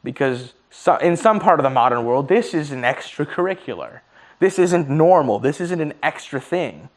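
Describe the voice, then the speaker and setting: A man's voice: fairly high pitch, big pitch swings, normal speed. A man in his early twenties musing aloud in a casual monologue, swearing freely and laughing at his own slips, his voice starting to tire. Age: 20s. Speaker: vlogger.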